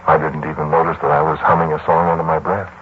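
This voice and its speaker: A man's voice: extremely low pitch, level tone, fast talker, lo-fi sound, a little hiss or hum. An actor playing a brooding young lodger, a mathematician, confiding his story in 1940s radio drama style on an old broadcast recording. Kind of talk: 1940s radio acting, first-person narration and dialogue.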